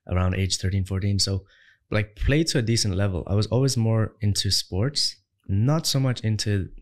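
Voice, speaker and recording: A young man from Ireland with a lowish voice talks as an interview guest. He is conversational and emphatic, and he stacks up rhetorical questions with growing force. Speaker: entrepreneur guest.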